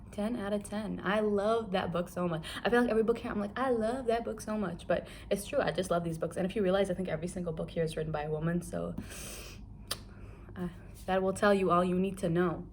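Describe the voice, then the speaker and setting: A woman's voice: medium pitch, steady tone, a little fast, darkish tone, slight background noise. A young adult woman from Kuwait giving a chatty, candid book review, talking casually with lots of filler words.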